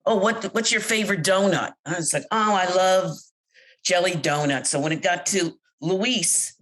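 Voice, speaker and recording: Middle-aged American woman, low-ish voice, candid interview storyteller, animated when quoting others. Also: moderate pace. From United States. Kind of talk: personal account in an interview.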